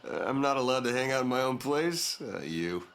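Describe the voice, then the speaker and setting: A man's voice: medium pitch, expressive, a little fast, a bit bright, a little hiss or hum. A man playing an easygoing, casual young husband with some concern in a naturalistic video game scene. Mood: amused, casual.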